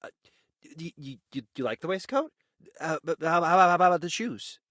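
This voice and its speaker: An actor playing an eager, awkward self-made merchant of peasant birth in a visual novel, earnest and halting, sometimes stammering. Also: male voice, a little high, expressive, fairly bright tone, dry recording, clean studio sound. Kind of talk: naturalistic visual novel acting.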